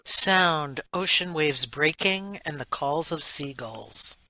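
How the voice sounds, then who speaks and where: A woman reads sound cues for a radio drama. She speaks slowly in a lowish, bright voice, recorded dry and close. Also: expressive, no echo, rough recording. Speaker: sound-effects reader. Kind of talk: reading sound cues aloud for a radio play.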